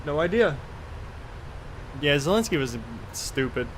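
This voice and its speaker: Casual man over steady hum. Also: fairly high pitch, a little slow, rough recording. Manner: rambling, offhand, mildly profane. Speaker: flight sim live streamer. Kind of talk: casual chat while playing a flight simulator.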